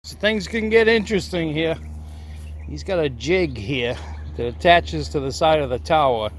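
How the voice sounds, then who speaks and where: A man's voice: on the high side, moderate pace, a little hiss or hum. A man gives casual on-the-spot commentary as he films outdoors on a handheld camera. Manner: chuckles at his own remarks. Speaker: camera operator and helper narrating a vlog. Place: outdoors at the foot of an antenna tower.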